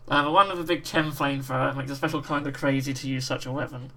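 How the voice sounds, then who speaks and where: A British man with a croaky voice hosting a livestream, chatting casually and reading out viewer messages while painting miniatures. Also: mid-range voice, fast, poor audio. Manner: relaxed, reads out chat messages. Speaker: livestream host.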